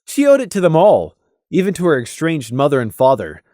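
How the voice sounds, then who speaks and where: A narrator reading a scripted story aloud in an expressive, dramatic way with deliberate, emphatic pauses. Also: medium pitch, no echo, clean studio sound. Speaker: audiobook narrator.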